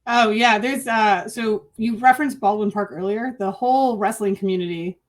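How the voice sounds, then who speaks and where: Mid-pitched woman, casual interview guest. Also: moderate pace. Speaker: interview guest, marketing agency owner. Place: room at home, on a video call.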